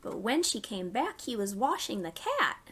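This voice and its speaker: A woman hosting a storytime for young children, reading stories and giving simple, clearly phrased instructions. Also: on the high side, sing-song, moderate pace, fairly bright tone, a little hiss or hum. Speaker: children's library storytime host.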